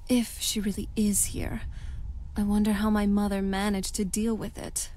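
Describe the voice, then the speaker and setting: A woman's voice: mid-range voice, flat delivery, normal speed, a little mellow, no room sound, natural voice. An actress voicing a young female vampire companion in a video game, sardonic and teasing yet caring, with a dry manner. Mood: wry, disgusted. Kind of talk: video game character dialogue.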